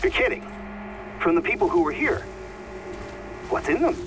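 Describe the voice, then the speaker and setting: A man's voice: high-pitched, huge pitch swings, normal speed, background noise. An actor playing an earnest, thoughtful male scientist in a video game, musing and a little hesitant. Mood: curious. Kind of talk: video game cutscene acting.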